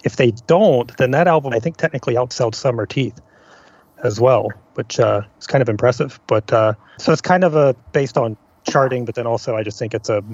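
A man's voice: mid-range voice, fairly quick, darkish tone, no echo. A man speaking as a podcast guest in casual music discussion, tentative and thinking aloud. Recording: dry, dull lo-fi remote audio.

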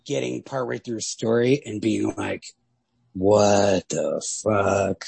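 A man co-hosts a casual literary discussion, talking in an animated, sweary way. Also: lowish voice, takes it slowly, gravelly, husky, dry recording. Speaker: podcast co-host.

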